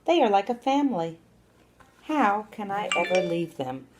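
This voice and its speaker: A woman's voice: medium pitch, big pitch swings, moderate pace, background noise, pitched above the performer's own voice, close to an everyday voice. A woman voicing a polite, admiring and eager male hermit crab in a children's storybook. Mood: fond, wistful. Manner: polite, earnest.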